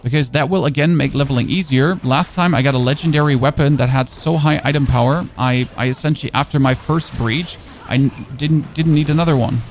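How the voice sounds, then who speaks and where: A man's voice: mid-range voice, a little fast, hardly any pauses. A man streaming a game in casual chat, rambling and answering chat questions on a dynamic studio microphone. His first language is German.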